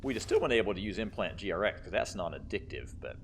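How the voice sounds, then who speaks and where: A man's voice: deep voice, expressive, fast, a little hiss or hum. A man giving casual, rambling commentary as he plays a video game, thinking aloud. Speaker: gaming video host.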